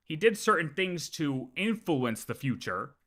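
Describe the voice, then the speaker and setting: A game streamer reacting casually on a livestream, talking in a halting, argumentative mutter. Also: mid-range voice, very animated, fairly bright tone.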